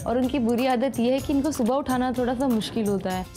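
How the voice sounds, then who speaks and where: A woman's voice: on the high side, brisk, over music. A young woman from Delhi, a teacher and contestant, speaking fondly and cheerfully about her husband. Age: young adult. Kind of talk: casual chat. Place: indoor TV studio set.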